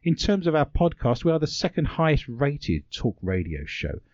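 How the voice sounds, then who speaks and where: British man, talk radio host, lively pitch, dry slightly dull studio sound, playful rambler. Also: normal speed, no room sound. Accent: British English. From Britain. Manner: playful, rambling, joking asides. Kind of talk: bantering talk radio hosting.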